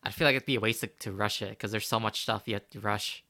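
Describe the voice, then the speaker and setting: A man with a low, bright voice talks in a relaxed podcast chat. He hesitates a lot, stacking fillers and restarting sentences. The sound is dry and close. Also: fast, dry recording. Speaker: podcast co-host. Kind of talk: casual chat.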